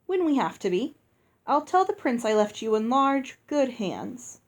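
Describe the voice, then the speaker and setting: A woman's voice: a little high, big pitch swings, measured pace. A woman voicing a gallant male knight in a fairy tale, speaking with courteous, polite phrasing. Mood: wry.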